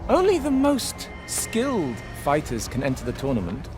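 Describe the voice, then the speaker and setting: Smug, swooping Roman arena master. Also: male voice, on the high side, wildly swooping pitch, normal speed. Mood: amused, mocking. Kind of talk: theatrical game cutscene dialogue. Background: clear music.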